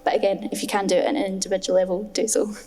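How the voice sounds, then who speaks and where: A woman's voice: mid-range voice, level tone, very fast, mellow. A woman speaking as a panelist at a design festival discussion, earnest and emphatic, often repeating words for stress. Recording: long hall reverb.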